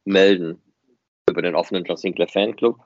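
Male podcast host, deep-leaning voice, casual conversational delivery, muffled lo-fi sound. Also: fairly even intonation, fairly quick, no echo. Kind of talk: casual unscripted podcast chat. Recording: muffled, dry, band-limited audio.

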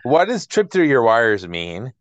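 A man's voice: medium pitch, big pitch swings, a little slow, dry recording. A man chatting casually about an album as a podcast co-host. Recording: dull, dry lo-fi sound.

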